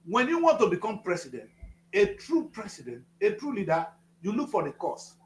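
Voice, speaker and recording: A man's voice: sits high, wildly swooping pitch, moderate pace, roomy sound, slight background noise. A Nigerian man in his fifties, speaking as a studio guest with a preachy, emphatic manner, repeating phrases for effect. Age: 50s. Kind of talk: passionate political talk.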